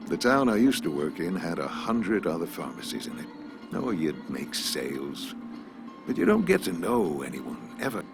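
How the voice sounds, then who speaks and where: A man playing a courteous, philosophical older small-town pharmacist in a video game, talking in a polite, measured, folksy way. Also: low-pitched, big pitch swings, gravelly, over music, exaggerated voice. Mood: friendly, confiding. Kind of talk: video game character dialogue.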